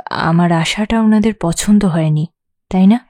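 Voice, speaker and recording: A woman playing a wary, frank prostitute who confides her past in an audio story, sometimes sharp-tongued. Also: on the low side, unhurried, natural voice. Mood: wary, confiding. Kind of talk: Bengali audio story acting.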